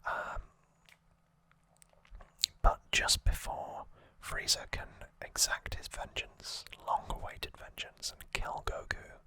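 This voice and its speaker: This is an ASMR host who whispers from start to finish. The delivery is gentle and halting, at a slow pace. The whisper has a bright, sharp edge. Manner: hushed, unvoiced whispering throughout. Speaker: host of an anime trivia channel.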